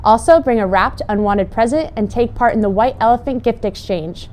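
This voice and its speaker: Higher-voiced young woman presenting announcements. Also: moderate pace, darkish tone. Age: young adult. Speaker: student news presenter. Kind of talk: scripted calendar announcements.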